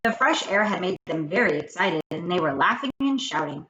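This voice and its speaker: Animated woman reading a children's story. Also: on the low side, brisk. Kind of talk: expressive children's book read-aloud. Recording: roomy echo, faint background noise.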